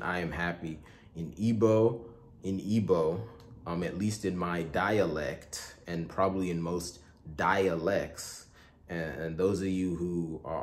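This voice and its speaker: A young man from Igboland hosting a casual spoken tutorial, talking in a relaxed, rambling way with many fillers and self-corrections. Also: low voice. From Igboland, Nigeria. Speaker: spiritual tutorial host.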